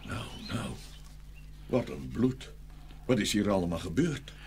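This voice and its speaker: A man's voice: lowish voice, animated, unhurried, darkish tone, slight background noise. A man playing a grand, good-natured, sleepy tsar in a dramatised fairy tale, with theatrical exclamations. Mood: hearty, generous.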